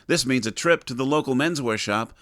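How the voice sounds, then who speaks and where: A man's voice: medium pitch, brisk, a bit bright, dry recording, clean studio sound. A man delivering scripted storytelling narration as the narrator of an audio drama.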